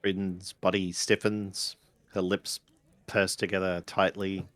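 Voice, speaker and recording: Male game master, deep and unhurried, improvising tabletop narration with a hesitant delivery. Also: level tone, fairly bright tone, dry recording.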